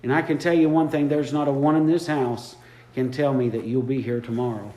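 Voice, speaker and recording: A man's voice: medium pitch, level tone, a little fast, dark tone. A man preaching a sermon in a church, fervent and repeating his lines for emphasis. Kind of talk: impromptu sermon. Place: church sanctuary. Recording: echoey church room.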